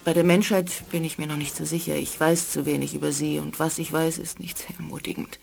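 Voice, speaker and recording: A woman playing a proud, aloof alien in a 1980s sci-fi radio play, reserved and deliberate, with a creaky voice. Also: low-pitched, fairly even intonation, a little mellow, faint music behind. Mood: guarded, reflective.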